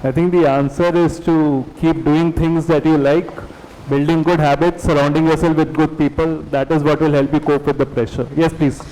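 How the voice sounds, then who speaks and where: A man moderates a career panel in a calm, encouraging way and passes questions to the panelists. His voice sits a little high. The sound carries a long hall reverb. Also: moderate pace.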